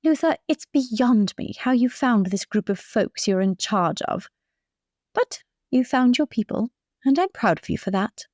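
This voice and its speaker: Woman acting as proper, high-voiced noble sister. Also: animated, unhurried, darkish tone, dry recording, clean studio sound. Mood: fond. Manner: formal, admonishing. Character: proper, bossy noblewoman, exasperated but fond sister. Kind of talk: improvised role-play drama.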